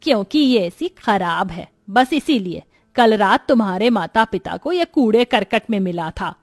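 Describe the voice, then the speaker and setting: A woman's voice: mid-range voice, lively intonation, unhurried, faint music behind, pitched below the performer's own voice. A woman voicing an older, disapproving mother-in-law who talks bluntly, in a moral-story cartoon. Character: scolding older mother-in-law, worried grandmother.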